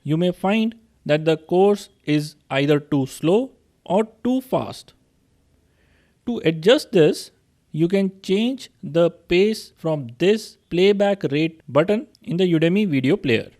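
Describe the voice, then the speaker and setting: Man, Indian accent, young adult, course instructor, slightly high voice, steady tutorial narration. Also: slow. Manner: steady explanatory tone, addresses students directly. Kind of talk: screen-recorded tutorial narration.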